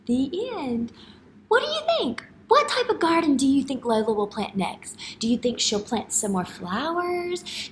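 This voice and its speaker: A woman in her thirties, an elementary teacher reading a picture book aloud and putting questions to young children in an expressive way. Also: a little high. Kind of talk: children's read-aloud and retelling lesson. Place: indoor classroom. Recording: clear background room noise.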